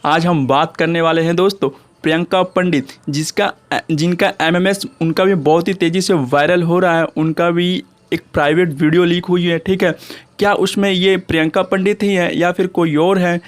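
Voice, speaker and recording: A man's voice: sits high, fairly even intonation, moderate pace. A man with an Indian accent hosting an online channel, giving informal gossip news commentary and addressing viewers as friends in a repetitive way. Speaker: YouTube channel host.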